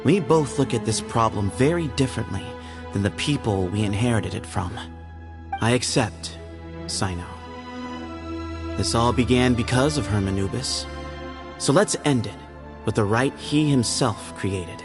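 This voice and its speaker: An actor playing a solemn, pragmatic temple heir in a video game, speaking in a formal, measured way. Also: male voice, fairly low pitch, music in the background. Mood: solemn, resolute.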